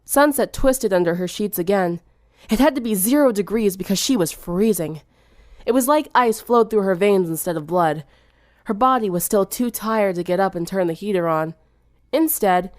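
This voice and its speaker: A woman's voice: mid-range voice, a little mellow, no room sound, studio quality. A woman narrating fan fiction in a dramatic storytelling style.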